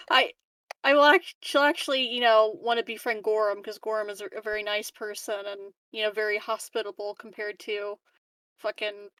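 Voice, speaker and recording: A woman's voice: medium pitch, fairly even intonation, moderate pace, a bit bright, no echo. A woman playing a tabletop game, speaking hesitantly with many fillers and restarts. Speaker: player. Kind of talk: casual chat at a game table.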